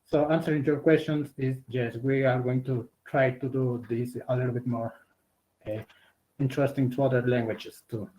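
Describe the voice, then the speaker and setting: A man from Mexico whose first language is Spanish, speaking English with a Mexican Spanish accent, answering a question haltingly in a video call. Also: mid-range voice, steady tone, darkish tone, low-quality recording. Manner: halting, searching for words. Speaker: student presenter.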